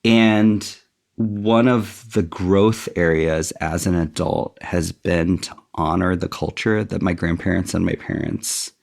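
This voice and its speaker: A man's voice: low-pitched, steady tone, slow, creaky, darkish tone. A middle-aged man speaking as an interview guest, thoughtful and candid with a self-deprecating touch. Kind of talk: reflective interview conversation.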